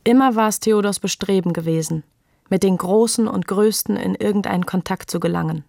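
Creaky-voiced literary narrator, dry sound. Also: medium pitch, fairly quick, darkish tone, dry recording. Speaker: radio play narrator.

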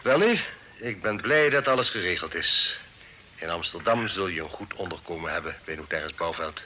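Bright low-voiced courteous older gentleman. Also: expressive, moderate pace. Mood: genial. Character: genial, courteous, fatherly older gentleman host. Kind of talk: radio drama acting. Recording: older radio recording, faint noise.

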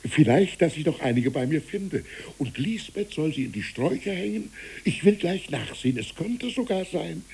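A man's voice: a little high, big pitch swings, normal speed, gravelly, a little mellow, breathy edge, exaggerated voice. An older man acting a fanciful, tender old village pastor in a 1960s radio play, pleading and dreamy as he rambles on to children. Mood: eager, musing. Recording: hissy 1960s radio recording.

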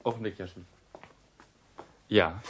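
A man's voice: deep voice, unhurried, fairly bright tone, husky, exaggerated voice. An actor playing a cheeky male police station colleague in a radio drama, jovial and mocking. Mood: obliging, wry.

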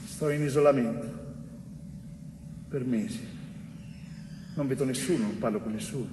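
An actor playing an anguished young mason, wrongly jailed, in an urgent, repetitive and pleading theatre monologue. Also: male voice, medium pitch, moderate pace, natural voice. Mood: desolate, hushed. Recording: echoey hall, distant mic, faint noise.

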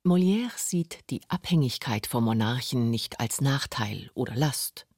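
A man's voice: medium pitch, animated, measured pace, darkish tone, dry recording, clean studio sound. A man reading scripted documentary narration for a radio feature. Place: radio studio.

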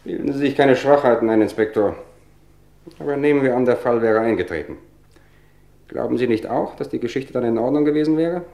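An actor playing a smug, cultivated murderer who taunts police with measured, theatrical politeness in an old German radio crime drama. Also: male voice, medium pitch, creaky, mellow, natural voice. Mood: self-assured.

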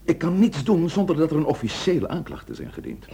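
An actor in a Dutch radio drama playing a sceptical, wry police inspector who hums and probes with questions. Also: male voice, on the high side, wildly swooping pitch, quick pace, vocal fry, mellow, slightly rough, heavily acted. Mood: official, reluctant. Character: gruff, sceptical police inspector, career-minded former suitor.

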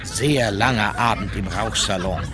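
An actor playing a member of the emperor's entourage, narrating anecdotal memoirs in a radio drama. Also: male voice, lowish voice, a little slow, very noisy, natural voice. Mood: amused. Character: male member of the emperor's entourage recalling pranks.

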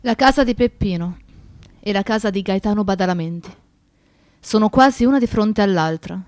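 A woman's voice: mid-range voice, normal speed, a little mellow, no room sound, close to an everyday voice. Actress as reflective woman narrator, dry close sound. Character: reflective, grieving woman recalling her activist friend and his town. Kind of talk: radio theatre narration.

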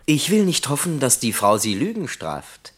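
Swooping, husky, cheeky young colleague. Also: male voice, mid-range voice, huge pitch swings, normal speed, fairly bright tone. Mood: mocking. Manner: ironic, teasing, mock-solemn. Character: Hopser, cheeky young needling office colleague. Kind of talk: German radio play acting.

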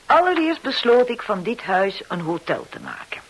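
A woman's voice: mid-range voice, huge pitch swings, moderate pace, husky, exaggerated voice, poor audio. A woman acting in a Dutch radio drama, playing a shrewd, self-satisfied hotel owner who tells her story with knowing theatricality. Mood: smug storytelling. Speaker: actor in a radio play.

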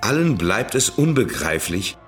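Low-voiced village son, over music. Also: male voice, very animated, a little slow, fairly bright tone. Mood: reflective. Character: village-born son narrating his unhappy family's past. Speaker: radio play actor, narrator and character. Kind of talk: fragmented, choral German radio play delivery. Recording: prominent music under the voice.

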